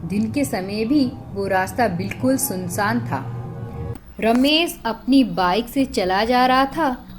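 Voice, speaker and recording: High, slow-paced woman storyteller. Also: animated, over music. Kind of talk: scripted Hindi horror story narration.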